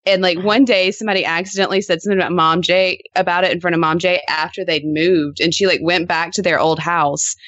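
Female podcast guest, thirties, animated casual anecdote-teller, dry close sound. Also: on the low side, fast talker, hardly any pauses, no echo, muffled recording. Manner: animated storyteller, peppers talk with "like".

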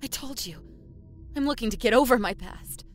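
A woman's voice: on the high side, expressive, normal speed, lightly acted. A woman playing a guarded, defiant and coolly vengeful woman in an audio horror drama, terse and sardonic. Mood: defiant, agitated.

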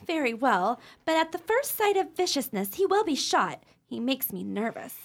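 A woman's voice: high-pitched, very animated, normal speed, exaggerated voice. A woman playing a genteel, nervous lady of the house in a radio play, fretful and refined in manner.

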